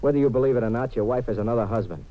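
A man's voice: mid-range voice, very fast, natural voice. An American actor playing a streetwise, wisecracking young tough guy in a 1950s radio detective drama, with slangy, wry delivery. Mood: wry, cool. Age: young adult. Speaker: lead actor and narrator. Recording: muffled, noisy old radio recording.

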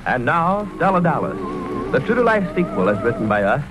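A man's voice: fairly high pitch, very animated, brisk, over loud music. A man delivering scripted narration as announcer of an old-time radio serial. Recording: muffled, faintly noisy old radio recording.

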